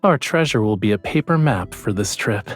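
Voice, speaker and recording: Husky, teasing young romantic lead. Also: male voice, lowish voice, lively intonation, moderate pace, soft background music. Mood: playful, musing.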